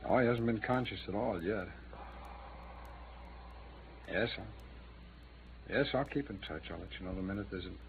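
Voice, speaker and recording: An American actor playing an earnest, frustrated city attorney in a troubled, dogged manner, in 1950s radio drama style. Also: male voice, on the low side, moderate pace, long pauses, natural voice. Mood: subdued, respectful. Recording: muffled, noisy vintage radio recording.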